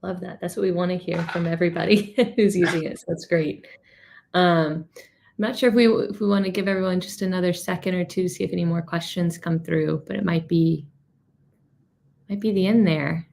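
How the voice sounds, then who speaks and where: A woman's voice: lowish voice, fairly even intonation, moderate pace, vocal fry, darkish tone. A woman hosting a webinar, casually moderating and putting questions to a guest in an informal, encouraging manner.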